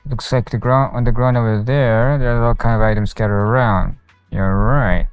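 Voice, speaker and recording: A man's voice: medium pitch, fairly even intonation, normal speed, clear, resonant tone, dry recording, dull audio. A man streaming a video game, casually commenting and reading dialogue aloud into a close mic with game music behind.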